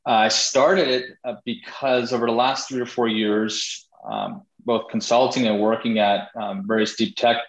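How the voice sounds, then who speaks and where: A man's voice: mid-range voice, fairly even intonation, normal speed, hardly any pauses, roomy sound, low-quality recording. A man, a startup founder, answering interview questions over a video call in a thoughtful way with many fillers.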